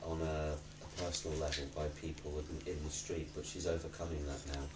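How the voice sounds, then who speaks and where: Casting: man, extremely low voice, even intonation, halting interviewee speaking in measured phrases. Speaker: charity worker in an LGBT documentary interview. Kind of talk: documentary interview talk. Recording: roomy echo, distant mic, clear background noise.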